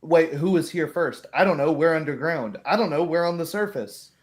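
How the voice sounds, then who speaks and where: A man's voice: a little high, normal speed. A man in casual group chat, speaking in an easygoing, agreeable way.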